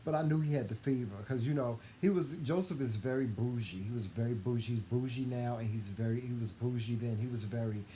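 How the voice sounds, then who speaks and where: A man of middle pitch chats through a personal story. He is openly affectionate and gushing, often repeating and restarting a phrase for emphasis. Faint noisy ambience sits behind his voice. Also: brisk, hardly any pauses, warm tone. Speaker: co-host telling a couple's story. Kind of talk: casual conversational storytelling. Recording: clearly noisy room ambience.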